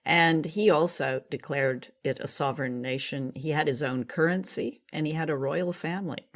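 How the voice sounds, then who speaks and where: A woman's voice: deep voice, normal speed. A woman hosting a radio show in a casual, chatty style, with a light, playful manner. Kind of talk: casual hosted chat.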